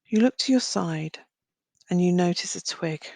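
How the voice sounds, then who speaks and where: Woman, meditation guide, lowish voice, noticeable vocal fry, gentle delivery, long pauses, dry close sound. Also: expressive, no echo. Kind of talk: guided visualisation, slow soothing narration.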